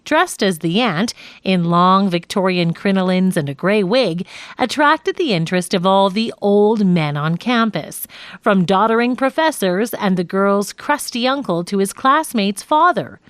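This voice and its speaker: Expressive woman reading nonfiction, dry sound. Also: mid-range voice, a little slow, no room sound. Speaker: audiobook narrator. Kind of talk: scripted nonfiction narration.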